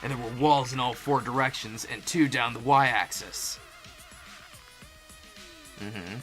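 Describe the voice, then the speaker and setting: A man's voice: mid-range voice, slow pace, over music, natural voice. A man voicing a puzzled, thoughtful teenage student detective in a game fan dub. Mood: pondering.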